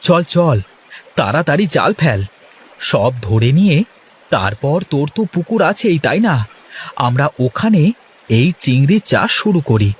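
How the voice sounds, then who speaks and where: A man voicing a poor, excitable village fisherman in a folksy moral-story cartoon. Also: on the high side, measured pace, pauses often, husky, exaggerated voice. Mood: excited.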